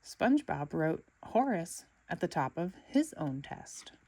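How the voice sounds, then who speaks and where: A woman narrating a children's picture book aloud with expressive, dramatic storytelling. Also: on the low side, a little slow, husky. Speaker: storybook reader.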